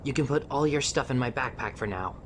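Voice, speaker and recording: A woman playing a friendly, sympathetic young survivor in an audio drama, helpful and gently frank. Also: extremely low pitch, expressive, fairly quick, slight background noise. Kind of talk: amateur audio drama acting.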